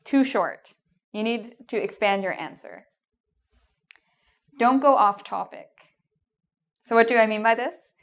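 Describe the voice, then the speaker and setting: A woman's voice: on the high side, unhurried, long pauses. A woman with a Canadian accent giving an English exam lesson, encouraging and reassuring, with frequent "um" and "okay?" checks. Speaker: English teacher. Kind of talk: video lesson with tips.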